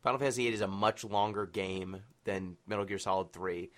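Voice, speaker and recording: Man, podcast host, slightly low voice, casual interview chat, conversational. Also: steady tone, a little fast, a bit bright.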